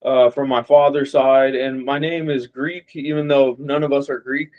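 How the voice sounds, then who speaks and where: A man's voice: mid-range voice, normal speed. A young man from Las Vegas, a boxer guest, talking casually and rambling with fillers. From Las Vegas, USA. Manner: relaxed, rambling with many fillers. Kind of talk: casual interview chat. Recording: dull lo-fi audio.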